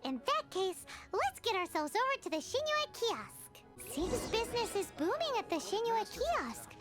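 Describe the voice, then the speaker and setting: A woman's voice: very animated, unhurried, full character voice. A woman voicing a tiny, cheeky female fairy companion in a video game, who teases others and calls herself by name. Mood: thoughtful. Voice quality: very high, squeaky. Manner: teasing, talks about herself in the third person. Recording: game music loud behind. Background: game music.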